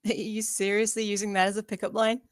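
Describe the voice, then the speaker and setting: A woman's voice: mid-range voice, level tone, fairly quick, no echo, clean studio sound, lightly acted. A woman playing a sardonic, teasing young woman at a screenplay table read. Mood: wry, teasing.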